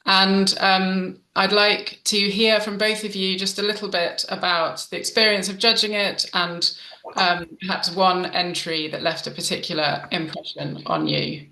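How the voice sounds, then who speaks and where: Bright-voiced woman hosting with roomy sound. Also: medium pitch, a little slow, slight background noise. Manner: warm, with frequent ums and restarts. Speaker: event host. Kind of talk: hosting a literary awards event.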